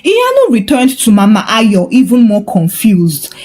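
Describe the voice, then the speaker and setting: Female folktale narrator: unhurried, scripted storytelling with a fairly high, animated voice.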